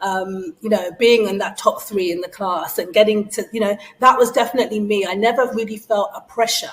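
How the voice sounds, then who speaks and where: A woman's voice: mid-range voice, fairly even intonation, brisk, a little hiss or hum, rough recording. A woman raised in London, talking about her upbringing as an interview guest, chatty with frequent fillers and easy laughter. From Nigeria, raised in London. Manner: chatty, lots of fillers and restarts, laughs easily. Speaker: guest, beauty brand strategist. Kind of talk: casual interview conversation.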